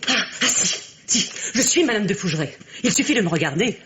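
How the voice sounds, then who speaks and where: An actress playing a bitter, unhappy middle-aged wife in a French radio drama, halting and repeating words with volatile emotion. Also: female voice, medium pitch, sing-song, fairly bright tone, a little hiss or hum. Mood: bitter, self-mocking. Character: bitter, grey-haired, unhappy wife, trapped and theatrical.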